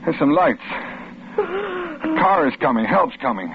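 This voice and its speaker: A man's voice: on the high side, wildly swooping pitch, a little slow. A male actor playing a desperate, overwrought husband protecting his wife in a 1940s radio thriller. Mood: shaken. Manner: urgent, overwrought. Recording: muffled, noisy old radio broadcast.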